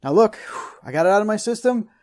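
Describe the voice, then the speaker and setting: A man hosting a cartoon recap show, mixing scripted narration with expressive, mock-exasperated banter. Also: sits high, fast talker.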